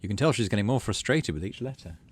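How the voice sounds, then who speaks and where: A man's voice: fairly low pitch, animated, fast talker, husky. A man hosting a gaming video, reading the game's text aloud and musing casually to himself as he plays. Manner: thinks aloud, murmurs to himself. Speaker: gaming channel host. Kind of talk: reading game narration aloud, casual musing while playing.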